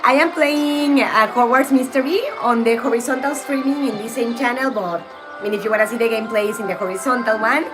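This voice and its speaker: A woman from Mexico with a slightly high voice, chatting casually as she plays and greets viewers by name. Loud game music runs behind her. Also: fairly quick. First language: Spanish. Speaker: streamer. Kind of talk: casual live game-stream chat.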